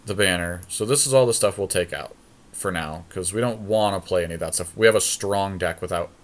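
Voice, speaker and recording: A man's voice: low voice, a little fast. A man co-hosting a podcast, thinking aloud in casual, slangy commentary as he plays a card game draft. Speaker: podcast co-host.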